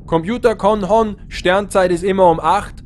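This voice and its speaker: A bold starship captain played by a man, rather high in pitch. He gives commands with mock grandeur, as in a comic sci-fi radio play. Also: fairly even intonation, moderate pace, slight background noise, natural voice. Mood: mock-solemn. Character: bold, mock-heroic starship captain of a tour bus crew. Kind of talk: comic sci-fi audio drama acting.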